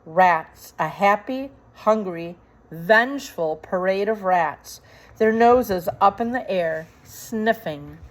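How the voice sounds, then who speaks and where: A woman reading a storybook aloud in a deliberate, suspenseful way, with emphatic dramatic phrasing. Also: mid-range voice, lively intonation. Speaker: storybook reader. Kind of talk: scripted dramatic storybook narration.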